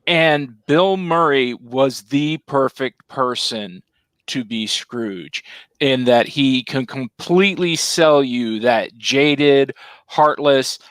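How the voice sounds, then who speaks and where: A man on a casual film panel, explaining his picks in a measured way. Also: medium pitch, a bit bright, no echo. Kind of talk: casual panel discussion of films.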